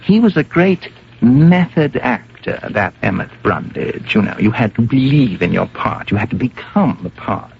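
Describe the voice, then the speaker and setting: A man playing a worldly, argumentative fellow in an old-time radio drama, gravelly, emphatic and persuasive, with a sardonic edge. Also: mid-range voice, big pitch swings, normal speed. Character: worldly, argumentative man reasoning with police captain. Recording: noisy, dull vintage radio recording.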